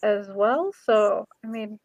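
A woman's voice: medium pitch, takes it slowly, a little mellow, no echo, highs cut off. A woman hosting a casual interview show, speaking in an informal, chatty way with sentences that sometimes trail off. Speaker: show host; ordained minister, former pastor.

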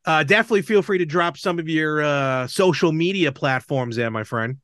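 A man's voice: a little high, moderate pace, fairly bright tone, no room sound, studio quality. A man hosting a podcast in casual, chummy, informal chat. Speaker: podcast host.

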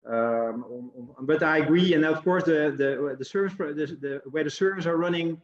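A man's voice: fairly high pitch, normal speed. A man hosting an interview over a video call, hesitant, with many fillers and restarts. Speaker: interview host. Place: home in the Netherlands. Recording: dull lo-fi call audio.

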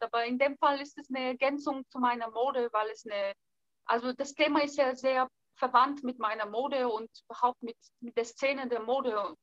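Young woman, bright and tense tone, hesitant casual talk. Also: on the high side, no room sound, highs cut off. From Bulgaria. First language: Bulgarian. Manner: hesitant, thinking aloud with fillers. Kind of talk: casual conversation.

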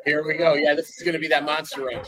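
A man's voice: on the high side, flat delivery, fast. A man throwing in short casual live reactions while watching wrestling. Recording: loud bar crowd, voice often distant. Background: loud crowd.

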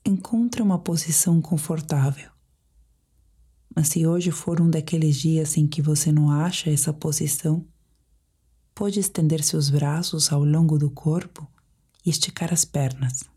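A Brazilian woman guiding a sleep meditation in a soft, whispery, breathy voice, speaking in a calm, measured, soothing way. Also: low-pitched. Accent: Brazilian Portuguese. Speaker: meditation guide.

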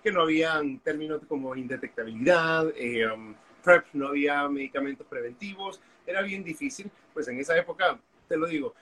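A man's voice: fairly high pitch, brisk, bright, forceful tone, a little hiss or hum, poor audio. A man, a guest telling his story candidly in an interview over a video call. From Honduras. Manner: candid, storytelling, frequent fillers. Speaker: guest, activist. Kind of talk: interview conversation.

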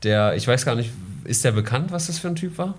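A man's voice: mid-range voice, lively intonation, fairly quick. A man hosting a casual podcast, chatting with a joking, ironic tone. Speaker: podcast host.

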